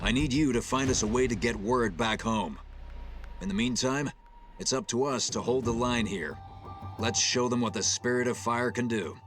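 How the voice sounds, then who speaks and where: An actor playing a decisive, steady military captain in a video game, giving firm, businesslike orders. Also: male voice, mid-range voice, normal speed, close to an everyday voice. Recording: music playing behind the voice.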